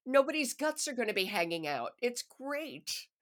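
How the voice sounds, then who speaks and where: A woman's voice: a little high, big pitch swings, normal speed. A woman hosting a podcast in a conversational book-review style. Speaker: podcast host.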